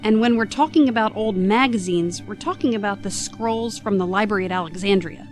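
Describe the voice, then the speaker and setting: An actress playing a plucky young travelling companion in an audio drama, with a wry, playful, quippy manner. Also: female voice, mid-range voice, fairly quick, faint music behind, lightly acted. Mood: wry, joking. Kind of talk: scripted audio drama acting.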